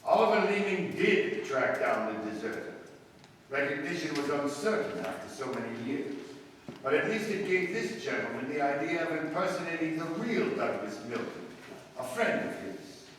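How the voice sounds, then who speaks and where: A man's voice: fairly high pitch, big pitch swings, moderate pace, fairly bright tone. Man acting commanding detective, echoey hall. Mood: accusing, triumphant. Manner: formal, assured, precise. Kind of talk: old-time radio drama acting. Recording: echoey, distant, noisy radio play recording.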